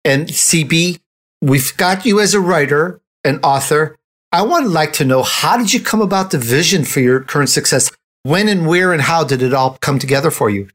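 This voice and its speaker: A man's voice: a little high, lively intonation, normal speed, a bit bright. A man hosting a conversational interview, speaking in an effusive, complimentary way. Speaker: interview host.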